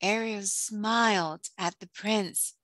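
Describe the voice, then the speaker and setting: A woman narrating a scripted children's storybook in a deliberate read-aloud manner. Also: mid-range voice, steady tone, fairly bright tone, no echo.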